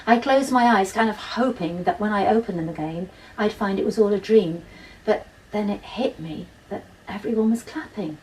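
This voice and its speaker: A woman's voice: mid-range voice, moderate pace, darkish tone, distant mic, rough recording. A woman narrating a first-person children's story expressively, as a school-age storyteller. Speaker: author reading from a children's novel.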